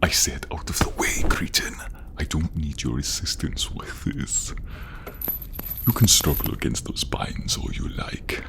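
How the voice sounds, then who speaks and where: A man's voice: sing-song, in a whisper, heavily acted. A man voicing a menacing, inhuman grand inquisitor with a hunger for blood, commanding and savoring his words in a fantasy audio role-play. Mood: imperious, menacing. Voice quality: very deep, gravelly, breathy. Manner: slow, savoring, commanding, condescending.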